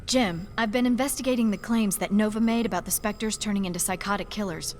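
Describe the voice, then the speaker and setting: Woman voicing a calm precise scientist lady, mid voice, faint hiss. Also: mid-range voice, brisk, close to an everyday voice. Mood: serious. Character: analytical female scientist, measured and precise. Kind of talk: video game dialogue.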